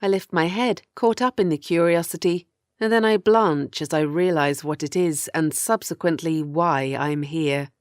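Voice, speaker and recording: A woman voicing a captive thirty-year-old woman, weary and afraid, telling her horror story in measured, grim audiobook narration. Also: low voice, no echo, close to an everyday voice. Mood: uneasy, horrified.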